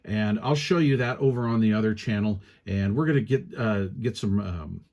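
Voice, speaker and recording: A man's voice: lowish voice, moderate pace, a little mellow, dull audio. Folksy man giving a casual gear review. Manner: folksy, informal, colloquial. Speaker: product reviewer, channel host.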